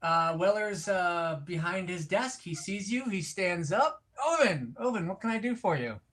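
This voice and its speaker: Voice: medium pitch, animated, a little slow, fairly bright tone. A game master running an online tabletop game, improvising scene narration and talking through rules in a casual, conversational way.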